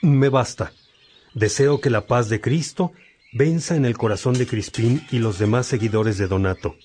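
Patient preaching bishop, a man acting him for a radio play, steady middle pitch, teaching tone. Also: slight background noise, lightly acted. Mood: earnest. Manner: calm, measured and instructive.

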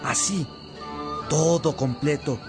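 A man's voice: fairly high pitch, slow. Man playing an earnest preacher over music. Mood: earnest, loving. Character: earnest, loving male spiritual teacher. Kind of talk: radio drama sermon.

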